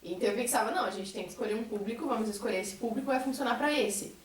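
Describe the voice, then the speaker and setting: A woman's voice: a little high, rapid-fire, slight background noise. A woman with a Brazilian accent speaking earnestly and articulately in a reflective group conversation, as a member of a theatre troupe. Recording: echoey room, distant mic.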